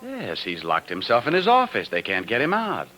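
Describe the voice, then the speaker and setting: An actor playing a wisecracking, cool-headed private detective in a 1950s radio drama, brash and commanding. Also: male voice, mid-range voice, wildly swooping pitch, moderate pace, sharp tone. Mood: coaxing. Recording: old 1950s radio broadcast recording.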